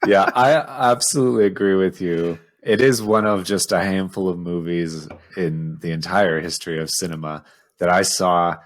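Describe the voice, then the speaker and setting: A man co-hosting a podcast, talking casually about films and games in measured, articulate phrases. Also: deep voice, fairly even intonation. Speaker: podcast co-host. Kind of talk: casual film and game chat.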